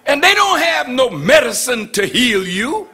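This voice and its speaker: An older man delivers a sermon with a high, bright voice that swoops widely in pitch. He speaks slowly and deliberately, then builds to shouts. At times he mimics mocking voices. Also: very animated, breathy edge. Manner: preaching with rising shouts and acted mocking voices. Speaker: preacher.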